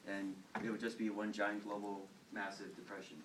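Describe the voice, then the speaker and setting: A teenage boy with a fairly low voice rambles through an informal story, repeating words and leaning on fillers. He is recorded from some distance, with faint background noise. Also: monotone, moderate pace. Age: teens. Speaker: student storyteller. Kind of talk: casual informal talk to classmates.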